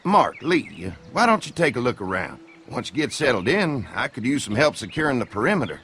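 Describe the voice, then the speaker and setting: An actor playing a folksy, friendly young farmer with a drawling Southern American accent in a video game. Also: male voice, mid-range voice, sing-song, a little fast, fairly bright tone, a little hiss or hum. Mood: helpful.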